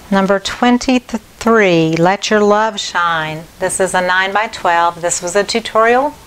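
A middle-aged woman artist showing her paintings one by one for an auction preview. She talks in a friendly, unhurried way and repeats the details. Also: fairly low pitch, a bit bright, slight background noise, low-quality recording. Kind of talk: slow, plain auction preview talk. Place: indoor home studio.

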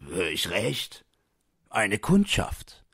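A man voicing a kindly, eager coal dealer in an audiobook story, halting and questioning as he calls out. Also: fairly low pitch, wildly swooping pitch, a bit bright, husky, voice pushed up, heavily acted. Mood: puzzled.

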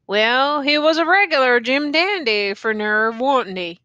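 A woman's voice: sits high, lively intonation, measured pace, sharp tone, no room sound, muffled recording, heavily acted. A woman voicing a weary, ragged male soldier who talks in a rural dialect and jokes through his pain. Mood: musing. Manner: rural dialect, drawling and musing.

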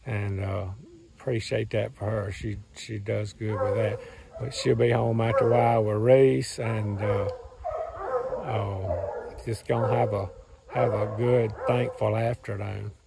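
A hoarse man in his thirties with a Southern US accent, rambling cheerfully in a folksy way to a phone camera while standing outdoors in the woods. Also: lowish voice, fairly even intonation, unhurried, heavy background noise. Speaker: farm and hunting channel host. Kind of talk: casual vlog talk to camera. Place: outdoors in woods beside a truck. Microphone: phone camera at arm's length.

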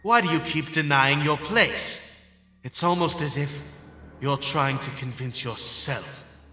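Man playing arrogant high-ranking schoolboy, curt, commanding, crisp forceful tone, comic dub drama, music behind. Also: slow pace, no room sound, heavily acted. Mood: stern, probing. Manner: cold, curt, imperious.

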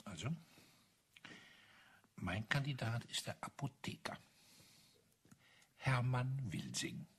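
An actor playing a retired army colonel in a radio crime play, an older man with a husky, raspy voice and a blunt, musing manner. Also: mid-range voice, very animated, takes it slowly, pauses often, a bit bright, a little hiss or hum, exaggerated voice. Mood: provocative, sly. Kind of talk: 1980s German radio crime play acting.

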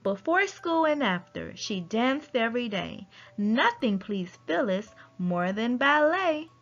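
A woman narrates a rhyming picture book for children at an unhurried pace. Her delivery is expressive, with animated rises and falls. The recording is slightly muffled, with music softly behind her. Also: on the high side. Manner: expressive, deliberate storytelling delivery. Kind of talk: read-aloud rhyming children's story narration. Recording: dull lo-fi audio with music behind.

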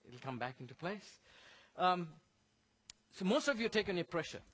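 High-voiced man from Sri Lanka. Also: very animated, moderate pace, fairly bright tone. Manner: conversational and explanatory, breaks down medical terms. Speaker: church health seminar speaker. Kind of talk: health talk in a church seminar.

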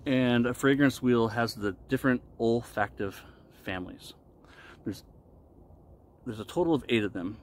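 A man in his forties giving a casual explanatory talk to camera as a vlogger, with deliberate, halting phrasing, recorded outdoors. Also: mid-range voice. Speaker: vlogger reviewing shaving products. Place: outdoors in a wooded park clearing. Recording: noisy outdoor ambience. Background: outdoor ambient noise.

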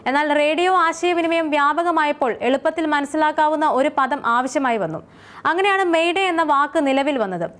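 A woman reading a scripted explainer as its narrator. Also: high voice.

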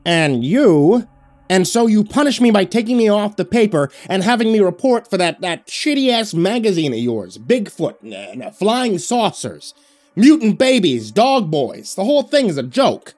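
A man's voice: medium pitch, normal speed, few pauses, no echo, natural voice. A man voicing a bitter, snapping reporter. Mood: indignant. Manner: sullen, snapping. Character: bitter, resentful male reporter.

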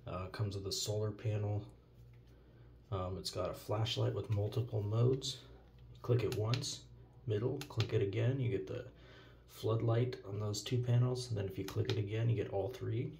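A man's voice: fairly low pitch, fairly even intonation, moderate pace, vocal fry. An American man giving a casual, offhand product demonstration as a reviewer, recorded indoors at a countertop. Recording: noticeable noise, handling clicks.

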